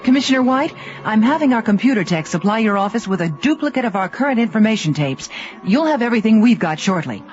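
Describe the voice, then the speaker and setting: An actress playing a brisk, bitter female research director on a space station in a science fiction radio drama. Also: fairly high pitch, sing-song, a little mellow. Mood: grave, businesslike. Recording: muffled radio drama recording over music.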